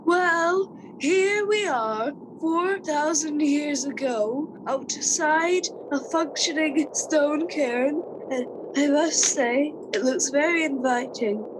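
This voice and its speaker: A woman's voice: high voice, slow, reverberant, background noise. A woman playing a genteel, curious time-travelling reporter in a radio comedy, describing things theatrically with understatement. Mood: brightly composed. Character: breezy, posh-mannered woman time-travelling reporter, blithely curious, then alarmed. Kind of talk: radio comedy scripted narration.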